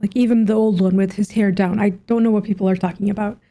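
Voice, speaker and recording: A woman's voice: mid-range voice, steady tone, fast, dark tone. A young woman giving casual let's-play commentary, musing aloud half to herself. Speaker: gaming streamer. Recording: game music behind the voice.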